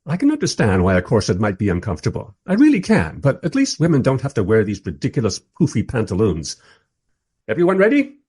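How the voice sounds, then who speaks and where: An actor playing a mild, polite time-travelling interviewer who narrates his story wryly in a radio play, with a husky voice. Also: medium pitch, wildly swooping pitch, quick pace, a little mellow. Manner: wry, polite. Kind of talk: first-person radio play narration and dialogue.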